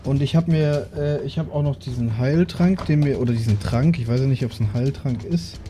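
Dark, relaxed male voice thinking aloud. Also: mid-range voice, normal speed, faint music behind. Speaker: tabletop game player. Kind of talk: casual game chat.

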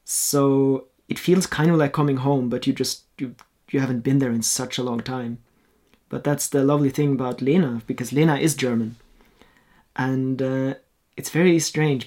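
A man's voice: medium pitch, fairly even intonation, normal speed, darkish tone. A man who grew up in Norway and speaks Norwegian as his first language, a painter talking in a casual interview, reflective and hesitant with many restarts. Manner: reflective, hesitant, with frequent restarts and light laughs. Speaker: guest, painter.

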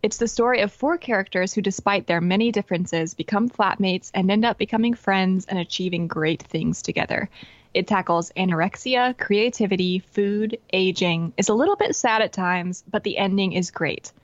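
A woman's voice: mid-range voice, moderate pace, dry recording, muffled recording. A woman co-hosting a podcast, reading out listener messages in a friendly, easygoing way. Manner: friendly, laughs easily. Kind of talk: casual chat.

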